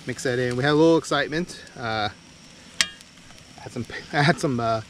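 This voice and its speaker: A man's voice: mid-range voice, normal speed. Laid-back man vlogging in noisy outdoors. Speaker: vlog host. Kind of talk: casual camping vlog. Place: outdoors at a state park and campsite. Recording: noisy outdoor recording with insects.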